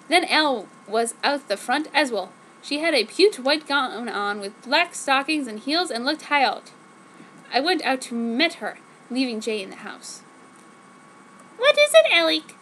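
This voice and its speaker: A woman giving a theatrical, mock-dramatic comedic reading as narrator, deliberately overwrought. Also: on the high side, expressive, fairly bright tone, a little hiss or hum, rough recording. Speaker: narrator reading fan fiction aloud.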